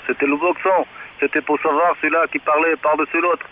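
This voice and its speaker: A man chatting casually over amateur radio in a halting way, often repeating words. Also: fairly high pitch, flat delivery. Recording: tinny, phone-like radio link with hiss.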